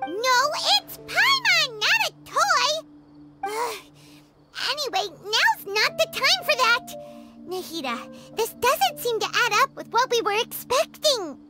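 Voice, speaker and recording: A woman voicing a small, excitable floating fairy companion in a video game who speaks of herself in the third person. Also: very high voice, takes it slowly, over loud music, heavily acted. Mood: indignant. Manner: talks about herself in third person, exclaims a lot.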